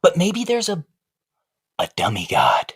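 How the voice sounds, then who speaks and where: A man playing a philosophical wooden ventriloquist dummy in a comic audio play, an insistent, questioning underdog with a husky voice. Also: fairly high pitch, wildly swooping pitch, a little slow, heavily acted. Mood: puzzled, pondering.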